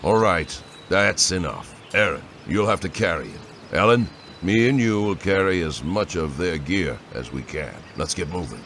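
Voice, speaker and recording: Man playing stern father, very low voice. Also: expressive, moderate pace, fairly bright tone, slight background noise. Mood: commanding. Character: stern, cautious father leading fantasy travelers. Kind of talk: fantasy audio drama acting.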